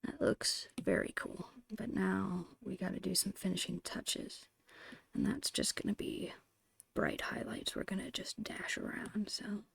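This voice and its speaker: Whispering woman, breathy and crackly. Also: mid-range voice, fairly even intonation, unhurried, dry recording. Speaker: ASMR host and digital artist. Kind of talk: ASMR drawing tutorial, softly whispered.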